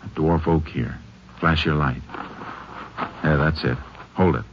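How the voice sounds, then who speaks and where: Texas ranger in old radio western, super deep voice, terse and stern, dull hissy recording. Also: male voice, fairly even intonation, unhurried. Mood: intent. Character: stern, laconic male Texas Ranger lawman. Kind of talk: 1950s radio western acting and narration.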